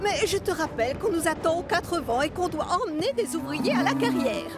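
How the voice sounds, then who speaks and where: A woman voicing a bossy yet encouraging female railway carriage in a cartoon, expressive and coaxing. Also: very high voice, brisk, music in the background. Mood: impatient. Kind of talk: French cartoon dub acting.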